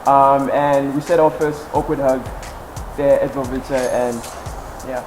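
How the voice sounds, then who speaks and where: A young man of eighteen tells his side of a story in a halting, easygoing way, filling his pauses with ums. His pitch sits in the middle range. Background music plays clearly beneath his voice. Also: little pitch movement, rough recording. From South Africa (Eastern Cape). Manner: hesitant, many ums and "actually". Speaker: first-year law student, interviewee. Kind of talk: casual interview answers. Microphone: headset.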